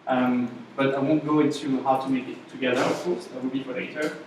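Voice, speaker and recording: A man's voice: medium pitch, level tone, brisk, noisy background. A man with a French accent giving a casual, chatty class presentation to a live audience, often checking in with the room. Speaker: workshop instructor. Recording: echoey room, voice from far off mic.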